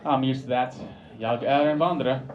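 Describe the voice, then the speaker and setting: A man's voice: medium pitch, steady tone, moderate pace, dull audio, noisy background. A young American man performing a stand-up set in a pub, dry and wry, with mock-sincere asides. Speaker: stand-up comedian. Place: pub comedy stage with a live audience. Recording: live pub recording, crowd laughing. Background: audience laughter.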